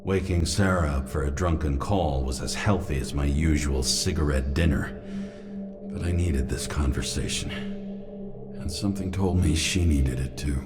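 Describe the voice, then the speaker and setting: An extremely deep male voice as a hard-drinking noir detective in a video game. He is brooding, sardonic and worn down by life. Loud music plays behind him. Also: normal speed. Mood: brooding, cynical. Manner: brooding, sardonic, world-weary.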